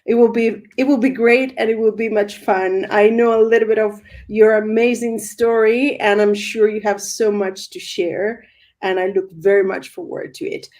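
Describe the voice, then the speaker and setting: A woman's voice: medium pitch, fairly even intonation, normal speed, darkish tone. A woman hosting an interview conversation, welcoming her guest and asking questions with some hesitant phrasing and frequent affirmations. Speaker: host.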